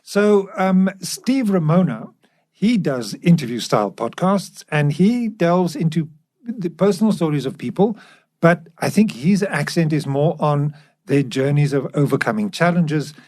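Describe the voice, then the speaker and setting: A man with a slightly high-pitched voice chats as a podcast co-host. His manner is casual and blunt, and the sound is very dry. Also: lively intonation, unhurried, a little mellow, no room sound.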